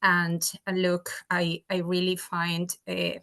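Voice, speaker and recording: A middle-aged woman speaks English with an Argentine Spanish accent, as a native Spanish speaker. Her voice sits a little low as she talks informally as a guest doctor on a webinar. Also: monotone, takes it slowly, gravelly, pushed and bright. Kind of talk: informal self-introduction on a webinar.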